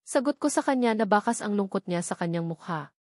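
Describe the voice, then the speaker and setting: A woman's voice: mid-range voice, expressive, brisk, darkish tone, no echo, studio quality. A woman with a Filipino accent reading a first-person novel as a scripted narration. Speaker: audiobook narrator.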